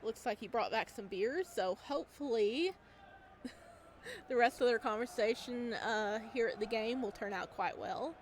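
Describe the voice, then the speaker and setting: A woman's voice: a little high, lively intonation, unhurried, a little hiss or hum. A woman reading a visual novel aloud as a let's play host, with casual, amused asides.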